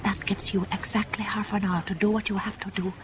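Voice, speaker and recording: An actress playing a composed, businesslike woman in a radio drama, speaking in a measured, precise and discreet way. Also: medium pitch, little pitch movement, close to an everyday voice. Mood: discreet, intent. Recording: noisy old radio drama recording.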